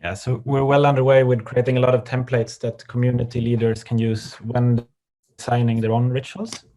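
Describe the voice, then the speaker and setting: A man with a Swedish accent calmly presenting an online workshop over a video call, with frequent pauses and ums. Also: medium pitch. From Sweden. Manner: calm, measured, with frequent ums. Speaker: workshop facilitator.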